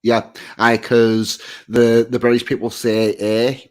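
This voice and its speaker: A man's voice: medium pitch, little pitch movement, slow, a little mellow. A man with a broad Belfast accent and a mid-range voice, talking casually. He tells stories in a blunt, offhand way and swears now and then. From Northern Ireland. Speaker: host. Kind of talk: casual chat.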